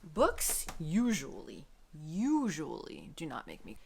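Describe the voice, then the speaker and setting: A woman book vlogger chatting casually to camera in an expressive, emphatic way. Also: low-pitched, slow, creaky, slight background noise.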